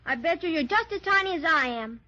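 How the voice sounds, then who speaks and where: A sweet, impatient little girl, very high and bright, full of childlike pleading and wonder. The voice sits in a dull 1930s radio transcription. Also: animated, brisk, exaggerated voice. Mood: eager, wondering.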